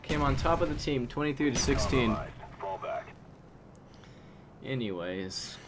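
A man giving live commentary on his shooter gameplay, chatty and talking to himself, sometimes yelling at the game, his voice getting strained. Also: mid-range voice, animated, slow pace, noisy background. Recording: home mic under loud game gunfire.

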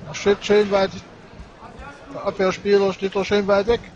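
A man with a regional German dialect giving relaxed, chatty live commentary on a match, with many fillers and short exclamations. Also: sits high, moderate pace, dull audio. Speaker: sports commentator. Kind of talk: casual live commentary on a cycle-ball match. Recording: noisy sports hall with crowd ambience.

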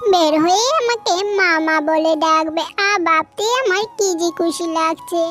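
A tiny mother duck from a children's cartoon, extremely high and childlike in pitch. She is tender and anxious, talking emotively to herself over children's music. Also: a little slow. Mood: delighted, dreamy. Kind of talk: children's cartoon story acting.

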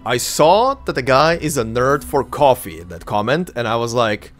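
A man's voice: medium pitch, expressive, normal speed. A man in his thirties whose first language is Croatian, chatting casually and rambling as he hosts a let's play. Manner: chatty, rambling, self-correcting with many fillers. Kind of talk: casual gameplay commentary. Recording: faint game music behind.

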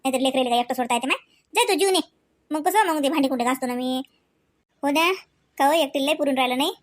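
A woman's voice: high voice, no room sound, studio quality, lightly acted. A woman voicing chatty, homely village women in a family cartoon, with a rural Varhadi Marathi accent and a gossipy, colloquial manner. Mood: homely, concerned. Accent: Varhadi Marathi, rural Vidarbha. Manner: gossipy, colloquial, drawn-out kinship exclamations.

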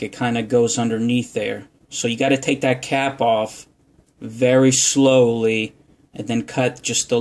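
A man's voice: mid-range voice, slight background noise. A man giving a casual how-to demonstration at a home bar counter, talking unhurriedly with many fillers. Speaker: vlogger.

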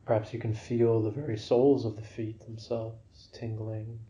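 Mellow, low-voiced man guiding meditation. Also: little pitch movement, dull audio. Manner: gentle, unhurried, soothing. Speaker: meditation guide. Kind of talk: guided yoga nidra relaxation for sleep.

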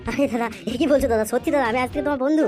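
A woman plays a hapless young man in a comedy cartoon, forever wheedling and grumbling crudely. The voice is high and races along very fast, with music clearly behind it. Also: natural voice. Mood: excited. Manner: pleading, grumbling, repetitive. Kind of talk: comedy cartoon dialogue and monologue.

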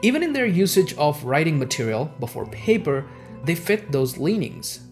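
A man's voice: a little high, very animated, moderate pace. A young man whose native language is Urdu gives scripted educational narration in an explanatory way, as host of a history channel. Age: young adult. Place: small home office, indoors. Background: background music.

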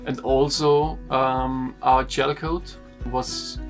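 A young man sits in a wooden boat cabin and explains a refit job to a camera. He talks very slowly, stopping and repeating words as he searches for them. His voice has a rough, gravelly grain, and a little guitar music plays softly behind him. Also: mid-range voice, little pitch movement. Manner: slow, halting, repeats words while explaining. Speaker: sailing vlogger.

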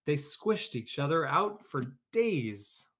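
A man's voice: fairly high pitch, very animated, slow, a bit bright, breathy edge. A man hosting a read-aloud for children, talking informally and explaining things, or reading a storybook. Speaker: educator, read-aloud host.

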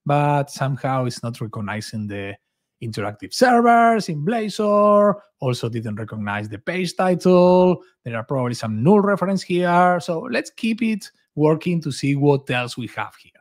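Higher-pitched man presenting a coding tutorial. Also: very animated, moderate pace. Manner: enthusiastic, informal. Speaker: software developer advocate, presenter. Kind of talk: screen-share coding tutorial, casual narration. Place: small home office indoors.

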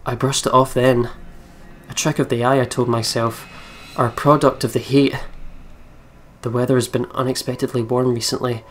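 A man's voice: medium pitch, little pitch movement, normal speed. A man, reading a game's text aloud as narration with some casual commentary. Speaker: let's player reading a visual novel aloud. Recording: music behind the voice. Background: game music.

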